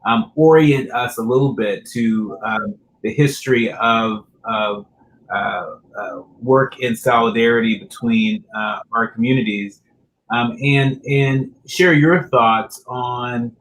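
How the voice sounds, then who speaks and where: Guy moderating a panel, halting, lots of um and uh, faint noise behind. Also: medium pitch, a bit bright, rough recording. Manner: halting, many uh and um fillers.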